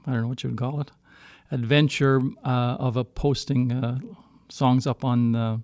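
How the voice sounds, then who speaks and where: A middle-aged man from Canada telling a story casually as a guest, halting and often circling back to rephrase. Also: mid-range voice, flat delivery, a little mellow, no room sound. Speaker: singer-songwriter guest. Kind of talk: casual storytelling in a podcast interview.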